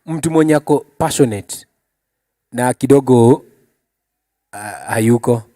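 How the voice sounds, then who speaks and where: A man's voice: medium pitch, slow pace, warm tone, no echo, clean studio sound. A man with a Kenyan accent hosting a talk show in a casual, playful style.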